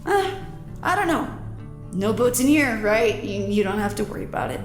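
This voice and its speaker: An actress playing a casual, upbeat field agent who nudges and encourages in informal, naturalistic audio drama dialogue. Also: female voice, on the high side, normal speed. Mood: gently encouraging. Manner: informal, coaxing, animated. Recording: roomy echo, music behind.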